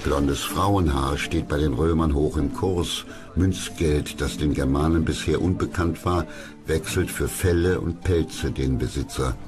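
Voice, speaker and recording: Very deep-voiced male documentary narrator. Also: unhurried, over music.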